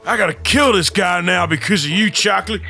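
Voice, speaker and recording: A man's voice: high-pitched, brisk, vocal fry, sharp tone, soft background music, full character voice. A man doing a blustering outlaw cowboy boss. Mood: gruff. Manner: blustering, swearing, drawled put-on voice. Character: gruff outlaw cowboy gang leader, bossy, short-tempered. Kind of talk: in-character roleplay during a multiplayer game.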